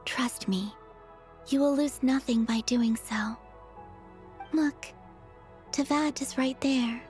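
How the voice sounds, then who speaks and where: A woman voicing a gentle, wistful young moon maiden in a video game cutscene, speaking softly and slightly breathily in measured phrases. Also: high voice. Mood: softly encouraging. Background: background music.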